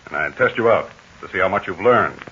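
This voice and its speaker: A steady, deep-voiced heroic aviator. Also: male voice. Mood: fatherly. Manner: calm, assured, lecturing in measured fatherly tones. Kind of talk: 1940s radio acting. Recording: dull scratchy 1940 radio transcription.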